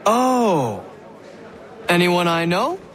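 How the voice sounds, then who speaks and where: An eccentric, sly prisoner from a video game, has a somewhat high voice. He speaks with pompous, theatrical flourish over a clearly audible crowd. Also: very animated, extremely slow, fairly bright tone, full character voice. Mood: coy. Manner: florid, theatrical, declamatory. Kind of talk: dramatic video game acting.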